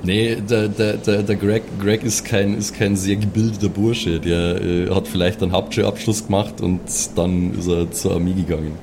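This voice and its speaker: A man's voice: low-pitched, little pitch movement, normal speed, hardly any pauses, noisy background. A man talking casually as a player in an improvised role-play game, describing what his character does. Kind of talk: casual table chat.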